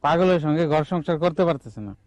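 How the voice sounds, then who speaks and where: A man's voice: on the high side, lively intonation, fairly quick, a little mellow, natural voice. An actor playing a good-natured, half-mad village man in a comic drama, rambling earnestly in rural Bangladeshi Bengali. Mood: matter-of-fact.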